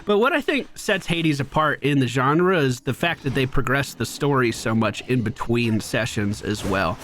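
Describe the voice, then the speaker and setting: A man's voice: mid-range voice, animated, moderate pace, fairly bright tone, a little hiss or hum. A man streaming a video game with casual, chatty commentary, explaining things with playful slang.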